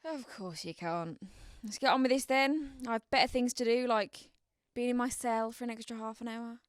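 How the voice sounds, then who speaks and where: A woman's voice: a little high, normal speed, smooth, no room sound, clean studio sound, natural voice. A British woman playing a bitter, challenging psychiatric inmate in her twenties in a radio drama, with a sardonic manner. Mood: sardonic, dismissive.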